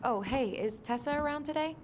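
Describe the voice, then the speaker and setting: A woman's voice: sits high, fairly quick, soft background music, natural voice. An actress playing a skeptical, sardonic young woman in a naturalistic video game performance. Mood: polite. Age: young adult.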